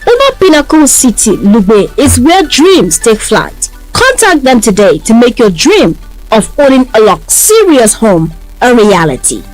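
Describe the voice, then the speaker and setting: A woman's voice: a little high, huge pitch swings, measured pace, a little mellow, rough recording. A woman with a Nigerian accent acting as interviewer in a scripted, polished and enthusiastic radio promotion. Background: music.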